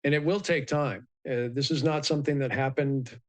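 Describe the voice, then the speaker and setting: Man speaking in a flat, measured way. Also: mid-range voice. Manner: measured, explanatory, frequent ums and uhs. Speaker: aviation expert guest. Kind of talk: live news interview.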